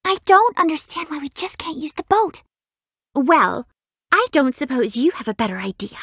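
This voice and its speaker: A woman's voice: high voice, big pitch swings, normal speed, fairly bright tone, dry recording, studio quality, heavily acted. A woman voicing a haughty, refined rich young lady in a fighting game, with a prim, imperious, theatrical delivery. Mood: disdainful. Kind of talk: video game fight voice clips.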